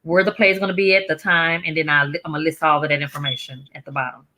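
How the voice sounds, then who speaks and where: Bright-voiced woman hosting a show. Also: deep voice, steady tone, rapid-fire. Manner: effusive, encouraging. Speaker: talk show host. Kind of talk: casual upbeat interview chat.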